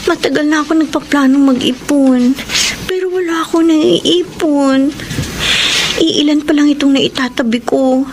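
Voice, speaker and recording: High, earnest struggling younger sister. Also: female voice, measured pace, darkish tone. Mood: pleading, prayerful. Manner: earnest, pleading. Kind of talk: radio drama acting. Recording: clear background noise.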